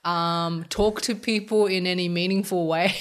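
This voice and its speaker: A young woman, co-hosting a casual podcast, run down from illness and a bit raspy, chatting with lots of ums. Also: fairly low pitch, steady tone, unhurried. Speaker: podcast co-host. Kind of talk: casual chat.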